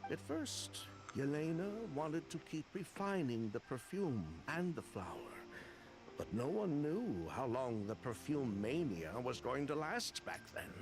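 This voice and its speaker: A man's voice: mid-range voice, sing-song, measured pace, music in the background, low-quality recording. A man acting as an assertive game character who justifies his shady business in a dramatic delivery. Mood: self-justifying.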